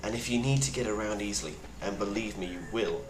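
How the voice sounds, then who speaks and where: A man playing a grim, weary plague survivor, speaking gravely and bluntly in a halting video-diary monologue. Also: on the low side, natural voice. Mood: earnest, advising. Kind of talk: in-character monologue, found-footage video diary. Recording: roomy echo with clear background noise.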